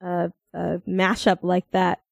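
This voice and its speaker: A woman's voice: lowish voice, monotone, slow, gravelly, dry recording. Young American woman, podcast co-host, playful and rambling, giggly, dry close sound. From Minnesota, United States. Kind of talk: casual chat.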